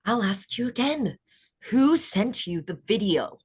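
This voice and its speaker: Casting: menacing, husky beauty queen out for revenge played by a woman, wide swinging intonation, thriller read. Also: on the high side, big pitch swings, measured pace, darkish tone, exaggerated voice. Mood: menacing anger. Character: vengeful, menacing beauty queen interrogating her captive. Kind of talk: screenplay table read acting.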